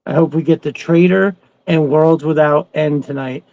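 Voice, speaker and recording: A man's voice: a little high, fairly even intonation, normal speed, a little mellow, lo-fi sound. A man around his thirties or forties co-hosting a fan show, talking casually in a rambling, enthusiastic way. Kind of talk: casual chat.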